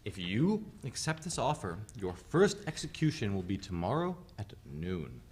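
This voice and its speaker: A man's voice: lowish voice, wildly swooping pitch, normal speed, a little mellow, slightly rough, heavily acted. A man playing a coldly polite colonial government envoy in a dramatic play reading, formal and condescending, with hesitant phrasing. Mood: dismissive, insistent.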